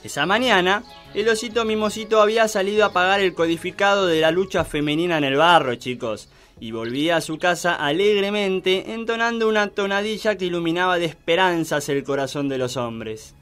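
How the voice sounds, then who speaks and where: A man narrating a comic children's story in a theatrical, drawn-out storytelling manner. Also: high voice, a bit bright, faint music behind.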